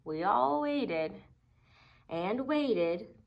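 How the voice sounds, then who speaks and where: A woman reading a children's picture book aloud expressively, with dramatic emphasis and deliberate pauses. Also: medium pitch, animated, creaky. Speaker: storybook reader.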